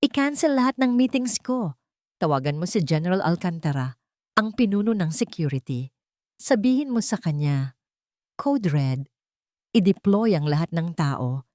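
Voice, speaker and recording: A woman's voice: very animated, moderate pace, pauses often, darkish tone, no echo, clean studio sound. Woman voicing a commanding billionaire father in crisis. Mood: urgent, commanding. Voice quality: low, authoritative voice.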